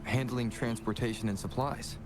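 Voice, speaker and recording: A composed, analytical male investigator voiced by an actor in a game. He has a mid-pitched, measured delivery, with music clearly playing behind him. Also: steady tone, natural voice. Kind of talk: scripted video game story dialogue.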